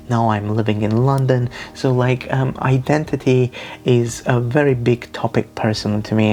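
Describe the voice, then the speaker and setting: Young guy w talking books, gravelly low voice, lots of ums and restarts. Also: a little mellow. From Armenia. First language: Russian. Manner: thoughtful, earnest, with frequent fillers and restarts. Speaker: book vlogger. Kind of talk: casual book recommendations.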